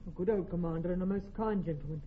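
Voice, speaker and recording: Worried common soldier, male actor, classical radio drama, fast delivery, tinny band-limited recording. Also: high voice, a little hiss or hum, close to an everyday voice. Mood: anxious, wary. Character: anxious, gloomy common English soldier on night watch. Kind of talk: classical Shakespearean radio acting. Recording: thin phone-like band.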